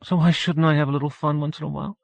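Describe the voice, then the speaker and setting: A man's voice: fairly high pitch, fairly even intonation, rapid-fire, creaky, dry recording, muffled recording, pitched above the performer's own voice. A man voicing a tipsy young woman who is flippant and defiant.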